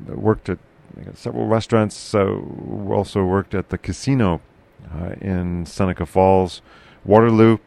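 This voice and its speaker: Low gravelly man with a carrying voice. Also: fairly even intonation. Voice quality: loud, carrying voice. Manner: halting, many fillers and restarts. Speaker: podcast host. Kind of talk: casual local news chat.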